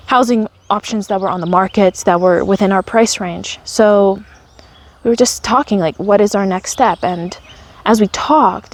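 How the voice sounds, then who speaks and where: A woman's voice: mid-range voice, a little mellow. A woman, telling her own story in a candid, wry, conversational way. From Canada. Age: 30s. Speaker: vlogger. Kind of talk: personal storytelling.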